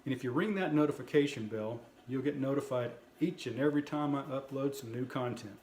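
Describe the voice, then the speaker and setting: A man's voice: mid-range voice, a little fast, darkish tone. Man in a roomy, echoing space. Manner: plainspoken, folksy. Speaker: host of a prepper video channel. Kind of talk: casual product review talk to viewers. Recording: roomy echo, light background noise.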